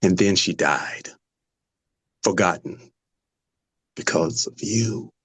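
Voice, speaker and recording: A man playing a vengeful, grieving patient in a dramatic table read, slow and taunting with sudden drops toward a whisper. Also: deep voice, fairly bright tone, breathy edge, no echo, heavily acted. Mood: bitter, accusing. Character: menacing, vengeful male patient grieving his twin sister.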